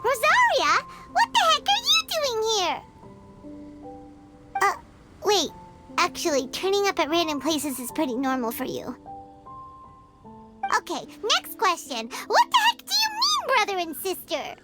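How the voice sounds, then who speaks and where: A woman's voice: very high voice, huge pitch swings, a little slow, pauses often, over loud music, full character voice. A woman voicing a small, chirpy, childlike fairy companion who talks in exclamations in a video game. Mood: puzzled. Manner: chirpy, exclamatory, speaks of herself in third person. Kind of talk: animated video game voice acting.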